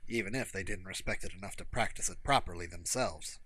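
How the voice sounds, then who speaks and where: A man's voice: deep voice, brisk, pushed and bright. A man reading scripted fiction as an audiobook narrator.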